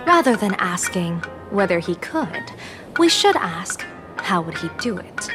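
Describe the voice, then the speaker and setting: A woman voicing an enigmatic, composed general and diviner in a video game, speaking in measured, formal, oracular phrasing. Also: fairly low pitch, very animated. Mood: grave. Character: enigmatic, composed female general and fortune-teller of fate. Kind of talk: scripted video game voice acting. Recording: music under the voice.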